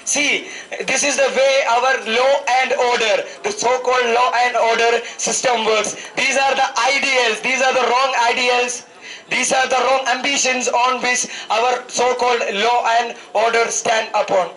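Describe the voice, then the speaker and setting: A man in his twenties with an Indian accent, a student activist making an impassioned political speech, haltingly and repeating phrases for emphasis into a handheld microphone. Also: extremely high pitch, flat delivery, pushed and bright, rough recording. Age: 20s. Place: outdoors at night, public gathering. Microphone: handheld microphone held close to the mouth.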